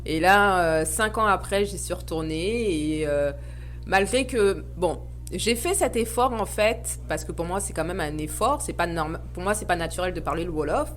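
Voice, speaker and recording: A woman's voice: fairly low pitch, moderate pace, faint music behind. A woman, a guest speaking earnestly and reflectively with frequent hesitations. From Paris suburbs, France. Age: 30s. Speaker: guest, association founder. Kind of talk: podcast interview, personal reflection.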